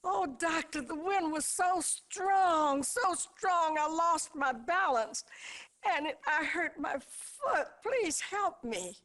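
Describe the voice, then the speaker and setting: A woman's voice: very high-pitched, animated, takes it slowly, fairly bright tone, heavily acted. A woman playing a dramatic injured patient in a live-action video game, imploring and wailing. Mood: pleading.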